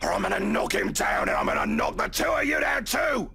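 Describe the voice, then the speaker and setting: Gravelly, raging drunk husband. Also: male voice, very high-pitched, wildly swooping pitch, a little fast, pushed and bright, raised voice, full character voice. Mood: furious threats. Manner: explosive, anguished, swearing. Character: raging, despairing drunk husband, foul-mouthed and threatening. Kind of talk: visual novel voice acting.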